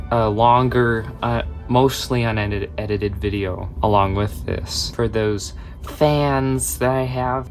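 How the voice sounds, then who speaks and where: A young man in his late teens walks through a crochet project in a casual tutorial chat. He rambles and wanders off topic, and his voice sits at an ordinary pitch. Music plays clearly underneath him. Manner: chatty, rambling, drifts off topic. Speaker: crochet vlogger and small shop owner. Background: background music.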